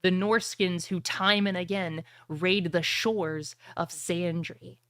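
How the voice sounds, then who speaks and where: A woman's voice: fairly low pitch, steady tone, slow pace, a bit bright, no echo, studio quality. A woman voicing the summoned ghost of a dead man, a grave and portentous prophet of doom in a tabletop game. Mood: grave, foreboding.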